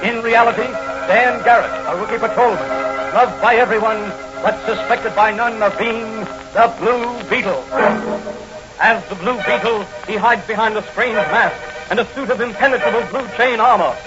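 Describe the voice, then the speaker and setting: Male vintage serial announcer, high pitch, grandiose scripted delivery, dull old recording over prominent music. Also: moderate pace. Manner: dramatic, grandiose. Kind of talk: 1940s radio scripted introduction.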